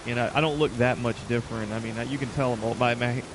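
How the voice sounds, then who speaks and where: A man's voice: mid-range voice, steady tone, quick pace, noisy background. A man in his mid-thirties chatting casually with viewers as a video game livestreamer, in an informal, conversational way. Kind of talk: casual chat with stream viewers.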